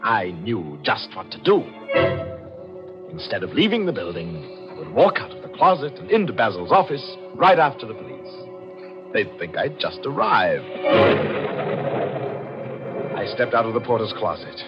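A muffled old radio recording with music behind it carries a low male voice. He is a cunning, cold-blooded killer confiding his scheme, swinging between hushed and frantic in classic 1940s mystery style. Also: big pitch swings, moderate pace. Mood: agitated scheming.

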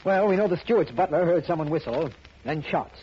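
A man's voice: fairly high pitch, lively intonation, brisk, husky. A man playing an exasperated, insistent district attorney in a 1950s radio drama, urgent and emphatic. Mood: earnest, urgent. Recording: muffled, noisy old radio recording.

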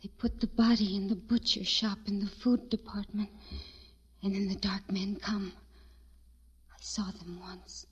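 A woman playing a timid, gentle, frightened young servant girl in a radio drama, speaking hesitantly and pleadingly. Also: mid-range voice, little pitch movement, measured pace, husky. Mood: hushed dread. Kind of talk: 1940s radio drama acting. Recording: dull old radio recording.

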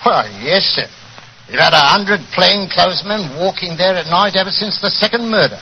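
An actor playing a gruff, deferential English police inspector in a 1940s radio drama, hesitating as he talks. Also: high-pitched, expressive, normal speed, background noise. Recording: dull vintage 1940s radio recording, music.

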